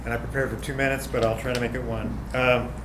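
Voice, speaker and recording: An older man speaks up loudly in a county meeting room without a microphone, his voice carrying through a roomy hall. He stumbles over uhs as he gives his comment. Steady background noise sits under him. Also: medium pitch. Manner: loud unamplified voice, halting with many uhs. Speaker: public commenter.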